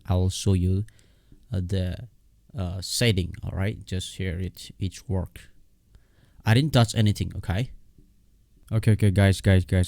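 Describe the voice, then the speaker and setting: A man with a very low, dark voice presents a casual software walkthrough. He is a non-native English speaker who explains each step haltingly and often repeats himself. The sound is dry, with very little room in it. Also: no room sound. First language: not English. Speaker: tutorial host.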